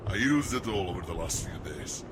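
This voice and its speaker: Man acting gruff, low-voiced old army captain. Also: sing-song, moderate pace, very noisy. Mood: weary anger. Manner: sardonic, folksy soldier talk with mild swearing. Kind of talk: audio drama acting.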